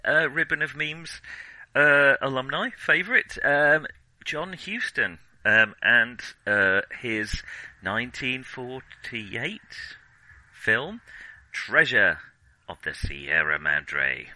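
A man co-hosts a relaxed film discussion in a very bright, crisp-edged voice. His pitch moves in a lively way, while his delivery is wry and halting. The sound is dry, with little room around it. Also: no echo, poor audio. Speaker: podcast host.

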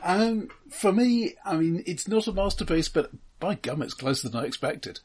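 A man co-hosting a casual film discussion, measured and analytical, with stammered restarts. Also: sits high, big pitch swings, a little mellow, slightly rough. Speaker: podcast co-host discussing films.

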